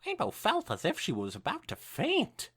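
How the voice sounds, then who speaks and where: A woman reading fan fiction aloud as a podcast co-host, narrating in an animated way with casual asides. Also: medium pitch, normal speed, husky.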